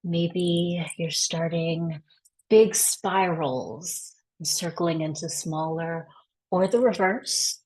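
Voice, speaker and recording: A woman leading a guided body scan meditation, giving gentle, reassuring, unhurried instructions. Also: lowish voice, low-quality recording. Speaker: guided practice facilitator.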